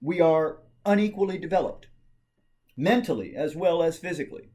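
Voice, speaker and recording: A man with a voice pitched a little above average performs a historical political speech. He declaims it formally, with dramatic emphasis and carefully measured phrasing. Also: lively intonation, dark tone. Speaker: amateur reader of a historical speech.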